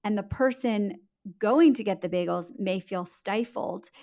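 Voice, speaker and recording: Emphatic, fluent woman with mid-pitched voice. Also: a little slow, dark tone. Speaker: guest author. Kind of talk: conversational interview explanation.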